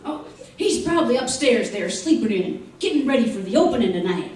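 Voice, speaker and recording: A man's voice: very high-pitched, very animated, brisk, darkish tone. A man playing a gossipy, teasing frontier doctor with a chuckling, drawling manner in an old-time western radio drama. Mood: amused gossiping. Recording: distant, very echoey stage-like sound.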